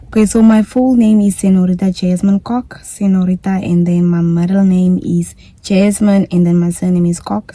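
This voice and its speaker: A woman's voice: on the low side, dark tone, no room sound. A young woman from Namibia with a Namibian English accent, chatting casually in a vlog Q&A and repeating herself often. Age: young adult. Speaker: YouTuber.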